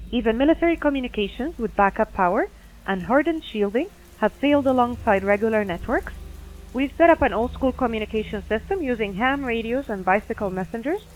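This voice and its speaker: A woman's voice: fairly high pitch, a little hiss or hum, close to an everyday voice, low-quality recording. A woman playing a concerned emergency responder calling a radio show in an audio drama, serious and matter-of-fact. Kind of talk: scripted audio drama caller report.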